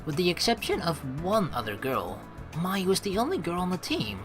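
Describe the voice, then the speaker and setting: Low-pitched, lively, bright woman gamer. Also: normal speed. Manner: chatty, informal, rambling asides. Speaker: let's play host. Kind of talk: game commentary mixed with reading story text aloud. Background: game music.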